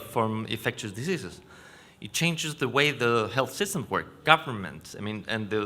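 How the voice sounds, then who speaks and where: A man with a Chilean Spanish accent giving a conference presentation, explaining in a halting way and correcting himself. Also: mid-range voice, pushed and bright. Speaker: panel speaker. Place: conference venue stage.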